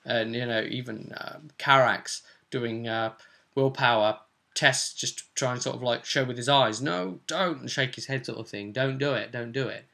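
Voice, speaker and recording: A man's voice: medium pitch, normal speed, gravelly, bright, forceful tone. A man chatting casually as a game master, recapping a tabletop role-play session and acting out bits as he goes.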